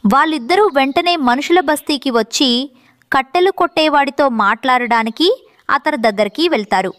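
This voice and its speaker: A woman's voice: high-pitched, normal speed, fairly bright tone, dry recording, studio quality. A woman reading scripted narration for a children's story.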